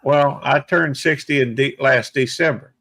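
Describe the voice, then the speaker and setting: Male, 60s, livestream host, relaxed halting chat, dry mic. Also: medium pitch, no room sound. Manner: plainspoken, halting with fillers. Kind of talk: casual chat.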